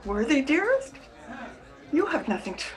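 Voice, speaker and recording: A woman's voice: high voice, sing-song, normal speed. A woman playing an adoring, refined sweetheart in a period radio melodrama, with a genteel, effusive manner. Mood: concerned. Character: adoring, refined woman in love, romantic and carefree.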